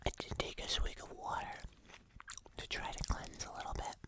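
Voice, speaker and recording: An ASMR host speaks entirely in a soft, breathy whisper. The delivery is casual and chatty, like an informal review. There is a wry, understated touch to the phrasing. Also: normal speed.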